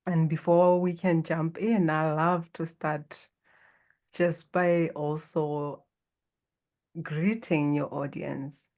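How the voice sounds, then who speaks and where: A woman from Botswana whose first language is Setswana, a guest on a podcast who speaks in a calm, reflective and inviting way. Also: deep voice, slow. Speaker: guest, life coach. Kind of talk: podcast interview.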